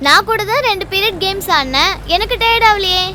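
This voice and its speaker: A woman voicing a stubborn, cheeky little schoolgirl in an animated series, with an Indian Tamil accent and a demanding manner. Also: very high voice, a little fast, slight background noise, heavily acted. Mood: grumbling, bargaining. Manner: demanding, bargaining. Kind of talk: animated children's series acting.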